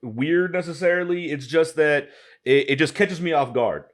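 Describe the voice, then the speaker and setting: A man's voice: a little high, moderate pace. A man from Detroit co-hosting a casual talk show, rambling with many restarts and fillers. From Detroit, USA. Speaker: podcast co-host. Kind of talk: casual chat.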